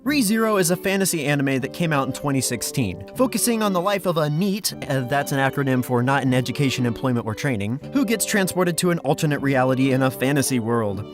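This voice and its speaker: A man presents a casting call in a casual, informal way. His voice sits a little high, he slips in self-deprecating asides, and soft music plays behind him. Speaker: fan dub project director and host. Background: background music.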